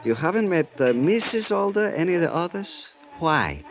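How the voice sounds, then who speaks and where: An actor with a Spanish accent playing a genial, sly Venezuelan police chief in a golden age radio drama, leisurely and teasing. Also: male voice, fairly high pitch, very animated, a little hiss or hum. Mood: musing, sly. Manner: leisurely, teasing, courtly, halting phrases.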